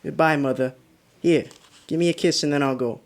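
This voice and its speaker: Man acting a haunted traveler, rather high-voiced, over music. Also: steady tone, fairly quick, lightly acted. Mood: breezy, reassuring. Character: haunted 36-year-old man recounting his fearful road trip. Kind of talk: radio drama acting.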